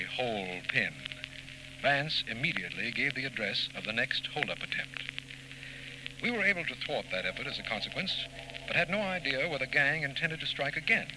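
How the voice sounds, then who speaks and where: A man acting an earnest district attorney in a 1940s radio mystery. His voice is medium-pitched and formal, with scripted period delivery. Clear noise covers the old recording. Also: lightly acted. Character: earnest, formal male district attorney, detective's puzzled friend.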